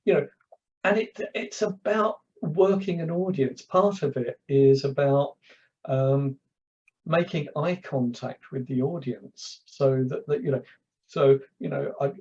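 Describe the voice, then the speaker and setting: London guy, stop-start storyteller, soft mellow voice. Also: on the high side, measured pace, lo-fi sound. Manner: anecdotal, repeats words when starting. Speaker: guest, public speaking coach. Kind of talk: casual interview chat.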